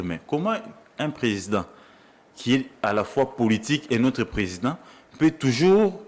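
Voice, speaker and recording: Emphatic Congolese man debating. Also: lowish voice. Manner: emphatic, argumentative, repeats phrases. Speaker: journalist, debate guest. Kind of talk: animated political debate.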